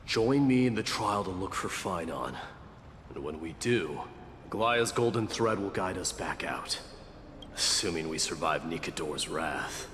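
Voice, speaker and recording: Deep, measured warrior lord. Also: male voice, lively intonation, fairly bright tone, in a large hall, slight background noise. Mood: resolute. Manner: measured, formal, authoritative. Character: grave, courteous male warrior lord, adult, commanding and protective. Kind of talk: video game voice acting.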